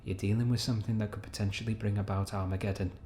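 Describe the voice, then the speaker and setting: Stern low-voiced male agent acted by a man. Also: little pitch movement, racing, darkish tone, slight background noise, close to an everyday voice. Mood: grave, urgent. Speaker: voice actor. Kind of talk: audio drama dialogue.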